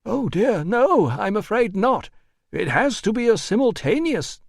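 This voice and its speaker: A man's voice: sing-song, measured pace, gravelly, no room sound, clean studio sound, full character voice. A man voicing a fussy, cowardly, pompous Roman administrator in an audiobook, officious and indignant in a strained voice. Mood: evasive, officious. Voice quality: strained, high-pitched. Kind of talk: audiobook character voice.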